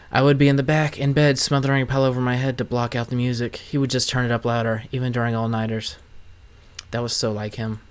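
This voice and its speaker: A man doing an informal let's play, chatting casually and reading game text aloud. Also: mid-range voice. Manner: informal, swears freely. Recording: loud noise behind the voice.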